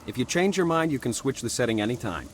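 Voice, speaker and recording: A businesslike male marine corporal played by an actor in a video game, deferential and procedural. His medium-pitched voice moves expressively over faint background noise. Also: animated, quick pace, natural voice.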